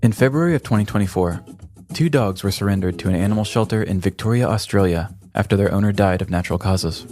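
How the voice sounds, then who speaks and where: A man narrating a scripted true-crime comedy podcast in a theatrical, deliberate storytelling manner. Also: on the low side, darkish tone, faint music behind.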